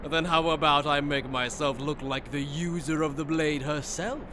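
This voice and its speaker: Man playing gloating, high-voiced anime villain, noisy recording. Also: steady tone, moderate pace, close to an everyday voice. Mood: sadistic glee. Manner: theatrical, mocking, cackling. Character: gloating, sadistic male aristocrat villain with magic sword. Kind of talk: anime fan-dub audio drama acting. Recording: clearly noisy background.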